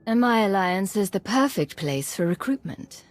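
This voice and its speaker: A woman's voice: mid-range voice, animated, normal speed, close to an everyday voice. A woman playing a guarded, matter-of-fact alliance commander in a video game. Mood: cool, businesslike.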